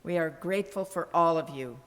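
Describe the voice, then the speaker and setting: Woman, lower-pitched, scripted congregational closing remarks, long hall reverb. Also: brisk, a bit bright. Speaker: church staff member closing the service.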